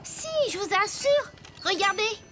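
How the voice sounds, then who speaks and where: A woman's voice: very high-pitched, animated, a little slow, fairly bright tone, slight background noise, exaggerated voice. A woman voicing a cheerful, eager and polite little wooden toy boy in a French children's cartoon. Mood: earnest. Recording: music behind the voice.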